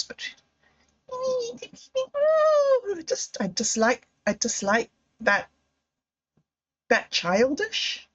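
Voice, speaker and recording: A woman talks into her phone in a slow, musing vlog. She drifts between thoughts, turns emotional, and laughs at herself along the way. The sound is a bit muffled and lo-fi. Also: a little high, a bit bright. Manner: musing, emotional, digressive, with self-mocking laughs. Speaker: vlogger. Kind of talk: reflective personal vlog monologue.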